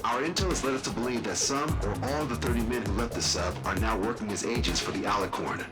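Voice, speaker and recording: An actor playing a calm, methodical military intelligence analyst, briefing in a precise, matter-of-fact way. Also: male voice, fairly low pitch, fast talker, natural voice. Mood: grave, factual. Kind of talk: briefing in a game cutscene. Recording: music under the voice.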